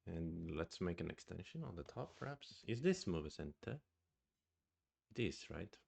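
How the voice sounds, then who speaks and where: A man's voice: low voice, very animated, moderate pace, creaky, a little mellow. A man with a Swedish accent streams his go game, thinking aloud in a hesitant, musing way. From Sweden. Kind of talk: live-streamed game commentary.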